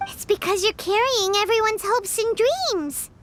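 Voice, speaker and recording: A woman's voice: extremely high pitch, a little slow, big-room sound, slight background noise. A woman playing a tiny, childlike, chatty fairy companion in a video game, inquisitive and wide-eyed. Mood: awed. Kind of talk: scripted video game voice acting.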